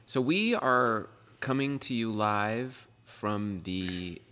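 Casting: man, low voice, casual halting podcast host, recorded in a ringing, reverberant space. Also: sharp tone. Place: club podcast studio with car theme. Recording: long reverberant room.

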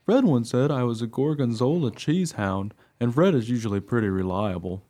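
A man's voice: mid-range voice, normal speed, lightly acted. A man playing a friendly, chatty young-hearted dog who tells his own life story earnestly in a radio play. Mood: wry, reflective. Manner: earnest, excitable, naive storytelling. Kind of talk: scripted radio play narration.

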